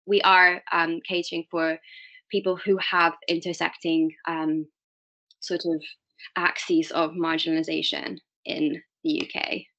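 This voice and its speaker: A young woman from Malta talks through her work in a casual interview. Her voice sits slightly low but has a bright, forceful edge, and her speech is hesitant, with frequent fillers and self-corrections. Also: level tone, unhurried, dull audio. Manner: hesitant, many fillers and restarts. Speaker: guest, diversity and inclusion manager.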